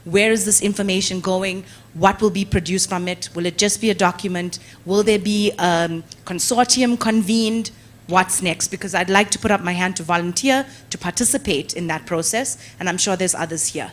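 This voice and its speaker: A woman's voice: fairly low pitch, moderate pace. A woman asking an enthusiastic question from the floor at a conference. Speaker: conference delegate.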